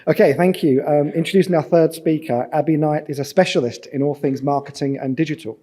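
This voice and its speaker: A man's voice: a little high, steady tone, fairly quick, warm tone. A man chairing a conference session, introducing the next speaker with light, jokey asides.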